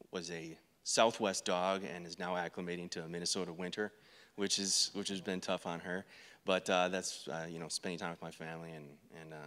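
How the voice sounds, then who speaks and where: A man's voice: low voice, fairly bright tone. American male agent, informal presenter, hesitant delivery, little intonation, echoing auditorium. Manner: halting, hesitant. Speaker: drug enforcement agent, panel speaker. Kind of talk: informal career presentation to an audience. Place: stage of an auditorium. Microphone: handheld microphone. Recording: reverberant hall sound.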